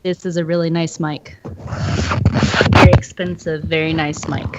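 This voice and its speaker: A chatty woman calling into a podcast and sounding enthusiastic. Her voice is on the low side, and it comes through a dull line with loud noise underneath. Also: level tone, normal speed. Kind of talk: casual chat. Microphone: large studio mic. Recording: dull, noisy call line.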